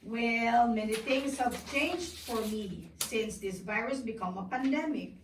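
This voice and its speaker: A woman voicing a polite, earnest elephant puppet guest on a scripted children's puppet show, speaking in a measured, recited way. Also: a little high, natural voice. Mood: explaining. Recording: echoey, distant, with background noise.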